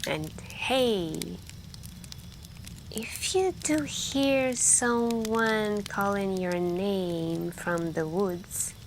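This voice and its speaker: Very slow, soft-spoken woman telling campfire stories. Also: mid-range voice. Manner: hushed, drawn-out, pausing, intimate, with stretches of whispering. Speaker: roleplay storyteller playing a girlfriend on a camping trip. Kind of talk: soft-spoken ASMR storytelling roleplay. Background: campfire crackling and night ambience.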